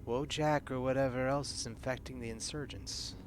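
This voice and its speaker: A man's voice: mid-range voice, steady tone, normal speed, background noise, close to an everyday voice. A man voicing a dutiful, plain-spoken Marine in a tabletop game, frank and steadying. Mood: suspicious, businesslike.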